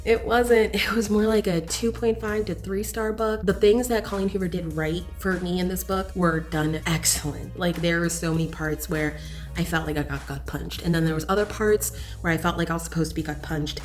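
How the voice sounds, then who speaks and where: A woman's voice: fairly low pitch, fairly even intonation, moderate pace, faint music behind. A woman chatting casually to viewers as a book reviewer, gushing and candid with emphatic opinions.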